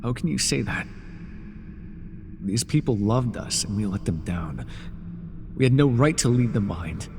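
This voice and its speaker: An actor playing a grieving, devoted fallen god in mortal form, earnest and reproachful, in a dramatic fantasy audio roleplay. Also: male voice, mid-range voice, expressive, normal speed, pauses often, lightly acted. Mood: anguished. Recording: reverberant hall effect, faint noise.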